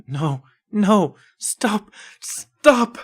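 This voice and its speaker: Guy doing a showy female pony magician, higher voice, proud n dramatic, storybook reading. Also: expressive, very slow, breathy edge, full character voice. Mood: distraught. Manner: boastful, dramatic.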